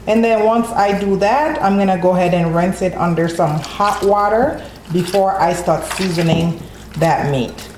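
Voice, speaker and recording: A woman's voice: on the low side, moderate pace, echoey room, background noise. A woman hosting a home cooking tutorial, walking through each step in a friendly way and often adding "okay?" as she goes. She is from Haiti. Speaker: cooking channel host. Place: home kitchen.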